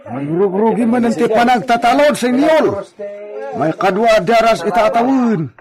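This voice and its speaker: Eager high-voiced farmhand. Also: male voice, lively intonation, unhurried, crowd noise. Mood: deferential. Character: eager, deferential male farmhand serving his señor. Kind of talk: radio drama acting.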